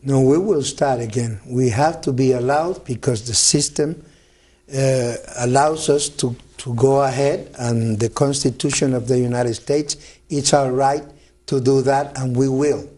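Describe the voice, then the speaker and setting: A man's voice: medium pitch, fairly even intonation, measured pace, mellow. A man who is a reverend and organizer, speaking earnestly in a studio interview and repeating words as he goes. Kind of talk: studio talk show interview.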